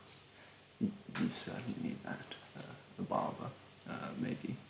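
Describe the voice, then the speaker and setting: A young man playing a rude, snobbish, fastidious facial therapist in a soft, half-whispered ASMR roleplay, haughtily polite and hesitant. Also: echoey room, slight background noise, close to an everyday voice. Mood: snide politeness. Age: young adult. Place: bedroom, indoors. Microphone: close mic in front.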